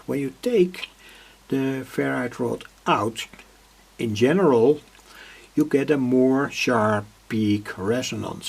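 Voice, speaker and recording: A man giving an unscripted electronics demonstration, halting as he searches for words, with a husky, creaky voice. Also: mid-range voice, darkish tone. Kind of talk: unscripted workbench demonstration vlog. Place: indoor hobby workbench.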